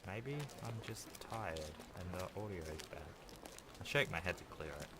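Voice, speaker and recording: A man's voice: sits low, normal speed, background noise. A man reading a visual novel aloud with casual, rambling, dry asides as a gaming host. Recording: music behind the voice. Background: game music.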